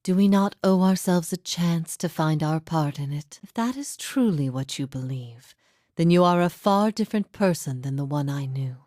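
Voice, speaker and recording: A grave female teacher of a lost faith in a fantasy game, long imprisoned and forgiving. Her voice is low and closely dry, and she speaks in measured, reflective phrases, posing solemn questions like a philosopher. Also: animated, a little mellow, no room sound. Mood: earnest. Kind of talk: scripted fantasy game dialogue.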